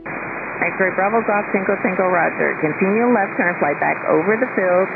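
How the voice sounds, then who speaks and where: A man's voice: high voice, moderate pace, gravelly. A man working as an air traffic controller, giving firm, procedural and direct instructions over aviation radio. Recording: tinny narrowband radio transmission, music behind. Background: background music.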